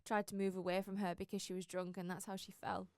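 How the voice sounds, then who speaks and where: Firm, earnest British sister weighed down by guilt, played by an actress; medium register, dry close sound, drama role. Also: female voice, medium pitch, little pitch movement, quick pace, a little mellow, no echo, close to an everyday voice. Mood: guilty, confessing. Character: protective, guilt-ridden sister defending her brother. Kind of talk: British audio drama acting.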